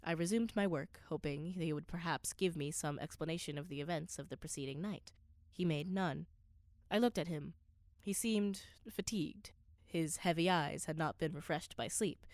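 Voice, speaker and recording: A woman's voice: sits low, normal speed, a little mellow, dry recording, clean studio sound. A woman playing an earnest scholar who narrates an undersea voyage in a radio play, with precise, literary, dramatic delivery. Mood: measured, puzzled.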